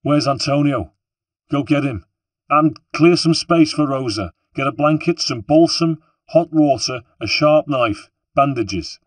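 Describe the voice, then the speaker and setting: A man's voice: a little high, lively intonation, unhurried, dry recording, voice pushed up. A man voicing a gang leader barking urgent orders.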